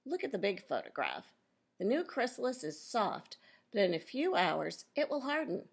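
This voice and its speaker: A woman reading a children's nonfiction book aloud as a teacher, pausing to explain and question her young listeners directly. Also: mid-range voice, sing-song, husky.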